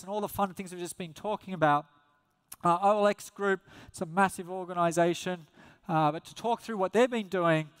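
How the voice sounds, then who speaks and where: Event host, male, high voice, smooth and clear, casual upbeat intro, reverb of a big hall. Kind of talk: stage introduction.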